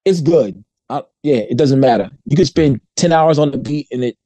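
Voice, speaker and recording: A man hosting a podcast, telling stories in a slangy, animated, casual way. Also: medium pitch, moderate pace, dry recording. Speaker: podcast host.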